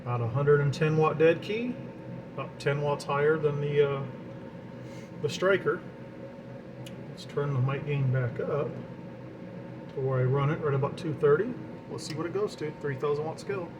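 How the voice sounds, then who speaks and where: A man's voice: on the high side, normal speed, pauses often, muffled recording. A man giving an informal hands-on equipment demonstration, explaining as he goes and partly talking to himself while he works. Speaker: radio hobbyist demonstrating equipment. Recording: clear steady background equipment noise. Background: fan and equipment noise.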